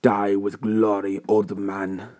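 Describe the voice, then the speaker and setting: A man voicing a noble aging warrior in a story, solemn and hushed, speaking slowly. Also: fairly low pitch, monotone. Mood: grave farewell.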